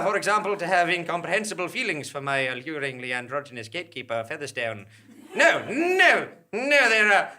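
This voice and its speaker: A man's voice: on the high side, sharp tone. A man acting a cynical, repressed fraud wizard in a live stage comedy, pompous and grandiose in delivery. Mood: agitated denial. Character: unmasked male fraud wizard, cynical, repressed, posing as enchantress.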